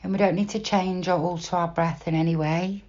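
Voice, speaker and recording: A woman guiding a meditation in a slow, soft, unhurried manner with long pauses between phrases. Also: fairly low pitch, fairly even intonation, highs cut off. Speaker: meditation guide. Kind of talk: guided body scan meditation, gentle instruction.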